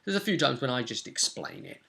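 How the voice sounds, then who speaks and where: A man's voice: mid-range voice, expressive, a little fast, creaky, pushed and bright. A young man with a British accent casually recapping a tabletop role-playing session as its game master. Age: young adult. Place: small cluttered room at home.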